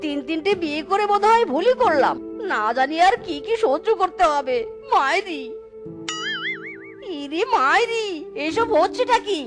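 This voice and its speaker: A woman's voice: extremely high pitch, animated, measured pace, long pauses. A woman voicing a hapless, henpecked fox husband who exclaims, complains and coaxes in a comic cartoon folk tale. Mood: exasperated. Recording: children's cartoon music behind the voice.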